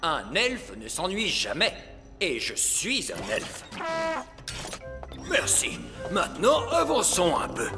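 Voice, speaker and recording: A proud, officious cartoon elf boss, with a high voice that leaps wildly in pitch. He explains things slowly and importantly, in a reverberant sound with music underneath. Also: huge pitch swings, exaggerated voice. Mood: proud, instructive. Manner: officious, exclamatory. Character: bossy, proud old male elf running a toy factory. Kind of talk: children's cartoon dubbing. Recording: music bed, long reverb.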